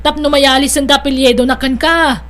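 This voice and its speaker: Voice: high-pitched woman playing bossy older sister. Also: fairly even intonation, moderate pace, fairly bright tone. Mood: persuasive. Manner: insistent, probing. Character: bossy, meddling older sister arranging a marriage. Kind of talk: Ilocano audio drama acting.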